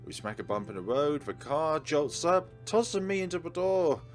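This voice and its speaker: A man's voice: fairly high pitch, big pitch swings, moderate pace. A man hosting a let's play, reading game text aloud and commenting on it. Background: game music.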